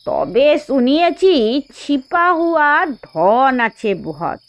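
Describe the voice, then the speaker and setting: Folksy village man played by a woman, Hindi-influenced Bengali accent, unhurried drawl, slightly dull recording. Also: high-pitched, sing-song, no room sound, exaggerated voice. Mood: amused. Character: amused, easygoing local rustic man, folksy and knowing.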